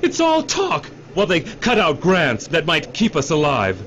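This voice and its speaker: A man's voice: a little high, huge pitch swings, unhurried, a bit bright, exaggerated voice. Actor as a glib, swooping male executive. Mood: angry, defiant. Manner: overfamiliar, salesman-like cajoling, repeats himself. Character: glib middle-aged male executive, defensive and self-justifying. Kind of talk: radio drama acting. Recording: dull radio drama recording, faint noise.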